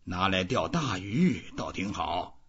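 A man's voice: sits low, very animated, slow, a bit bright, breathy edge, pitched below the performer's own voice, full character voice. A man voicing a solitary old fisherman musing to himself in a storybook reading. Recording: dull, muffled lo-fi audio.

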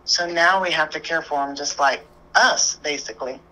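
A woman's voice: deep voice, moderate pace, bright, forceful tone, rough recording. A woman guest who works as an animal communicator, talking casually in a strong, emphatic voice. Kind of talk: casual conversation.

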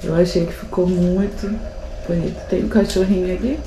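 Woman, Brazilian accent, 30s, hoarse, chatty, phone held at arm's length, echoey bare room. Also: lowish voice, level tone, moderate pace. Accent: Brazilian Portuguese. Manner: chatty, addresses viewers often. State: hoarse from a sore throat. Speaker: vlogger. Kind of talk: casual home vlog. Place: house under renovation, indoors. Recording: echoey room with clear background noise.

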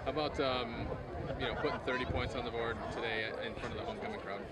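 A man's voice: medium pitch, steady tone, quick pace, fairly bright tone. A man interviewing players after a game, asking plain matter-of-fact questions. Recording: outdoor field with crowd chatter.

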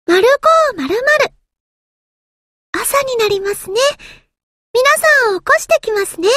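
A woman's voice: very high-pitched, animated, a little slow, fairly bright tone, no room sound, clean studio sound, heavily acted. A woman voicing a small, timid, earnest anime game submarine girl, with a thin childlike voice and a hesitant, polite manner. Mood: dutiful, polite. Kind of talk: anime game character voice lines.

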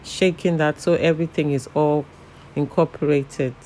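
Cooking host, man with a fairly high voice, relaxed and chatty, talks through each step with filler words. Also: moderate pace, slight background noise, poor audio. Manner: relaxed, chatty, frequent "you know" and "um" fillers. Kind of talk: casual step-by-step cooking narration.

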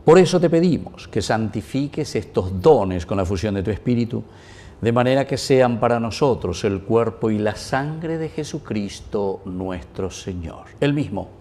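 Solemn middle-aged priest reciting Mass. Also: male voice, lowish voice, a little mellow. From Paraguay. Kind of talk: liturgical prayer at Mass. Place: small chapel indoors.

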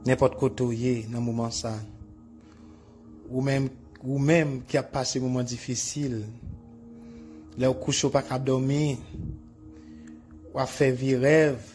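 A man's voice: medium pitch, darkish tone. A Haitian man, a native Creole speaker, praying as a preacher in declarative, repetitive, incantatory phrases. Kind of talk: bedtime deliverance prayer, declarative. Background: music.

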